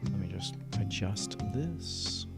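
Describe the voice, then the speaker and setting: Guy livestreaming games, chatty n upbeat, kinda low gravelly voice, music in the back. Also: animated, slow. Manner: upbeat, chatty, muttering to himself while working. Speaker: livestreamer and host. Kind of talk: casual gaming livestream chat while setting up.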